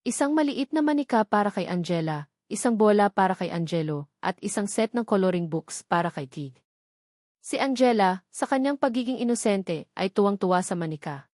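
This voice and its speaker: A woman's voice: medium pitch, darkish tone, no echo. Filipino woman narrating, close dry sound. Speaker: story narrator. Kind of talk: scripted dramatic narration of a romance story.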